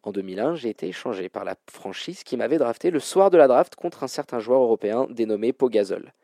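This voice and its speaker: A man with a mellow, relaxed voice hosts a sports trivia game. His delivery is informal and full of colloquial slang, and the sound is close and dry. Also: medium pitch, fast, vocal fry, no room sound. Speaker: quiz show host. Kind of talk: hosting a basketball trivia quiz, casual banter.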